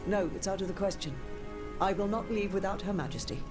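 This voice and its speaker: A woman's voice: mid-range voice, a little fast, lightly acted. A woman playing an anxious, loyal lady of the French court in a video game cutscene, earnest and formal. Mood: pleading, firm. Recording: music behind the voice.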